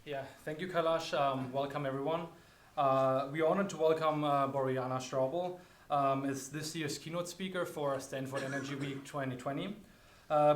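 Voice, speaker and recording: A young man with a somewhat high voice introduces the keynote speaker in a lecture hall. He reads a prepared biography at a steady pace, with a few stray uhs, and the room adds echo. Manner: reads a prepared bio, some fillers. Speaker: event introducer. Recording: roomy hall sound.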